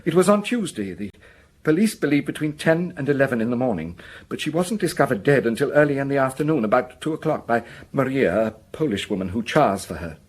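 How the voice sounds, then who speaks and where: Husky, anxious village schoolmaster. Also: male voice, mid-range voice, animated, a little fast. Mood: earnest explaining. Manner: hesitant, earnest, stammering over repeated words. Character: anxious, defensive male village schoolmaster under suspicion. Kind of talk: radio drama acting.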